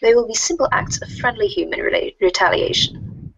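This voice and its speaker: Woman acting a husky, formal alien troll schemer. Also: medium pitch, a bit bright, no echo, rough recording. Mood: formal, explaining. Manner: formal, verbose, deliberate. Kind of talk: readers theater of web comic chat logs.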